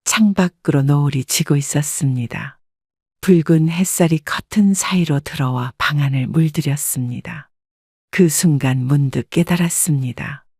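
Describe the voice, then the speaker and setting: Calm, reflective woman narrator. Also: deep voice, normal speed, no echo, clean studio sound. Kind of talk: scripted first-person story narration.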